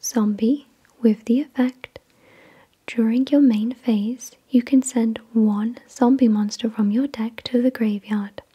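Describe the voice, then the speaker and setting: Breathy whispering woman, slow and soft. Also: fairly high pitch, fairly even intonation, lo-fi sound. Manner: measured, repetitive, gentle reading. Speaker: ASMR host. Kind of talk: whispered ASMR card unboxing, scripted reading.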